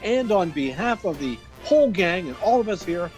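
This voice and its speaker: A man's voice: sits high, very animated, moderate pace, darkish tone, faint music behind. A man closing a radio play as announcer, reading cast credits in an upbeat manner. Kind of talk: radio show sign-off.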